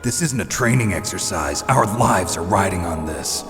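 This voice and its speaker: A man's voice: deep voice, expressive, normal speed, loud music behind the voice. A man playing a tough, cynical military operative in a video game, terse, blunt and dry. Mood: stern, dry. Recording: long hall reverb.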